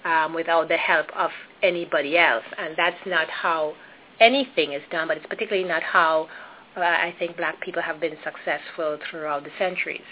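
A Trinidadian woman with a low-set voice talks through her answers slowly and carefully. She fills gaps with ums and restarts her phrases. The sound is narrow and phone-like. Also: steady tone, slight background noise. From Trinidad and Tobago. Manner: thoughtful, hesitant, many ums and restarts. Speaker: guest. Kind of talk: interview conversation.

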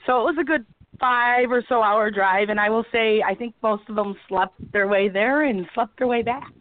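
A woman's voice: a little high, normal speed, no echo. Woman caller, mother, easygoing and rambling, tinny phone sound, dry. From Illinois, Chicago area. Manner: easygoing, self-deprecating, rambling. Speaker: caller, parent. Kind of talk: casual chat.

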